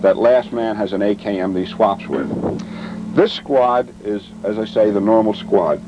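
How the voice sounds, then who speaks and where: A man giving a military training lecture as an instructor, matter-of-fact and measured, speaking in short deliberate phrases. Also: on the low side, steady tone. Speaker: weapons instructor and presenter. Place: outdoors at a weapons demonstration. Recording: vintage training film, noisy outdoor sound.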